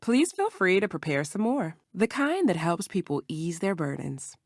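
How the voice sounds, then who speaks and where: A woman playing a careful herbal shopkeeper in a video game, instructive and gentle. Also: on the low side, sing-song, moderate pace, no room sound, clean studio sound. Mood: welcoming, measured. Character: calm female herbal shopkeeper, patient, careful mentor.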